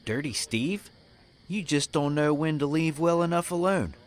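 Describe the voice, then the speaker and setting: A man's voice: on the high side, normal speed, lightly acted. A man playing a tough, sardonic cowboy hero in a comic Western audio drama. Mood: dryly threatening.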